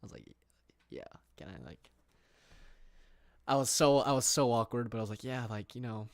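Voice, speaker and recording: Young guy from england just chatting on stream, lots of likes and restarts, mid-pitch voice, dry sound. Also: very animated, moderate pace, no room sound. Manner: rambling, many fillers and restarts, reads viewer questions aloud. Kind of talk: casual livestream chat. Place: room.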